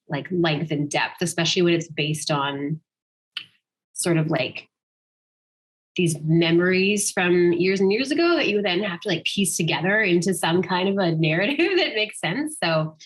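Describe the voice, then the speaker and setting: A woman's voice: fairly low pitch, animated, moderate pace. A woman speaking informally and thoughtfully as a guest writer answering questions, hesitating as she goes. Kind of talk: casual question-and-answer at an online literary event.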